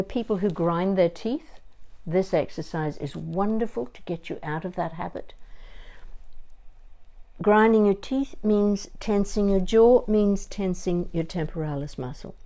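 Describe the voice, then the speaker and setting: An older woman giving a conversational demonstration as a voice coach, deliberate and emphatic, pausing between short phrases. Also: medium pitch, dark tone.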